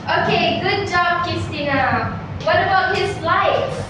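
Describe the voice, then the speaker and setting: A young woman teaching a mock class, quizzing students with slow, clear, encouraging questions. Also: extremely high pitch, lively intonation. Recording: echoey room, distant mic, background noise.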